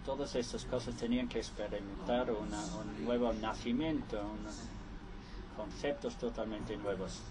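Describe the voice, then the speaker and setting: A man interpreting conference speeches live, halting and restarting often. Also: lowish voice, steady tone, noisy background.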